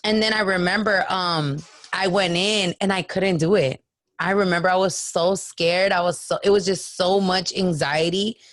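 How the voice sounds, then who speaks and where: A raspy-voiced woman in her late twenties, a podcast guest, telling a story casually, acting out quotes and swearing freely. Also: lowish voice, fairly even intonation, moderate pace, no room sound.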